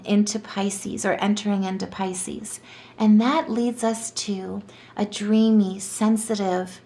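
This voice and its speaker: A woman gives a tarot reading with a stuffy, congested voice from a cold. Her tone is calm and encouraging, and she stops now and then to correct herself. Her voice has a gravelly fry underneath. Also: mid-range voice. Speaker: tarot reader.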